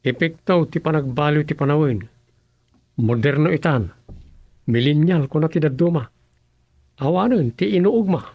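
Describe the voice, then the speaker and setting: A man as a husky, gravelly Ilocano grumbler. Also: on the high side, animated, moderate pace, long pauses, darkish tone, no echo. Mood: musing. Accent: Filipino, Ilocano. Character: local man musing and grumbling about modern life. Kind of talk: radio drama comedy acting.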